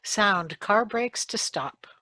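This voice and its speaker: Slow woman reading sound cues. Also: medium pitch, no room sound. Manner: slow, flat cue announcements. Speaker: sound effects reader.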